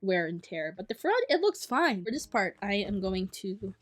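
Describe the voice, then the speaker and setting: A young woman talking to camera about her summer reading list in a chatty, enthusiastic way, with quick asides and self-corrections. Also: mid-range voice, very animated. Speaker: book vlogger. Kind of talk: casual chat to camera.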